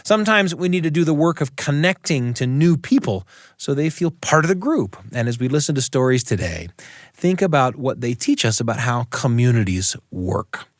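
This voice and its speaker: American man, radio show host, scripted narration, expressive varied intonation, dry studio sound. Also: mid-range voice, moderate pace, no echo.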